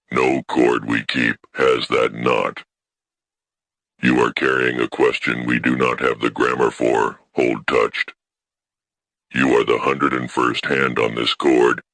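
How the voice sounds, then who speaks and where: Man voicing a gravelly, cavern-deep alien elder woman. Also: pushed and bright, heavily acted. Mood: grave, foreboding. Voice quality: very low, gravelly. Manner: slow, solemn, deliberate. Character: ancient solemn female alien elder, keeper of records. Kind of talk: scripted audiobook character voice.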